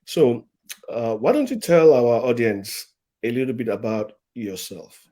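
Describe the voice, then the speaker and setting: A man's voice: medium pitch, lively intonation, unhurried, mellow. A man hosting an online live-stream interview, repeating words and reading out audience comments. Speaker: host.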